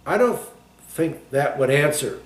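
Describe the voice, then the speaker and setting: A woman's voice: sits low, animated, unhurried, reverberant. A man voicing a bossy, fussy society matron in a storybook reading, decisive yet dubious. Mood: firm, reproving.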